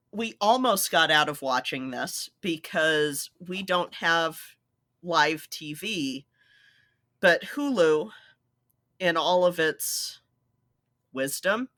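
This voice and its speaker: A woman's voice: on the low side, a bit bright, no room sound, clean studio sound. An American woman co-hosting a podcast in casual conversation. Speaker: podcast co-host.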